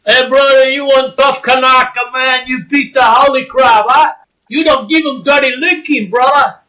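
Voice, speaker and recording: A man's voice: very high voice, steady tone, moderate pace, bright, forceful tone, poor audio. A man putting on the voice of a jovial Hawaiian-talking wrestling fan who calls everyone "brother" in pidgin-style slang. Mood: hyped.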